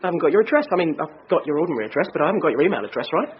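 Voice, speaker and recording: A man's voice: fairly high pitch, very animated, very fast. A man with a British accent playing an earnest junior police detective in a naturalistic radio drama. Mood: baffled, defensive. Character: junior male police sidekick, computer-savvy, earnest. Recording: dull radio drama sound, faint noise.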